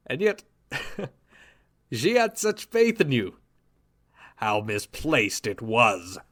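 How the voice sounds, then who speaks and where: Man playing a gloating villain scientist, quiet and menacing, smug drawl, mid-pitched voice, audio drama. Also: huge pitch swings, very slow, heavily acted. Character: cold, gloating male villain scientist with an android army. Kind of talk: amateur audio drama acting.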